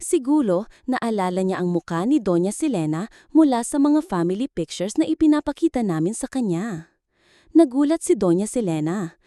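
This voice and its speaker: A woman's voice: mid-range voice, very animated, normal speed, dark tone, no echo, studio quality. A woman narrating a scripted dramatic story in the first person, with an earnest, sentimental delivery.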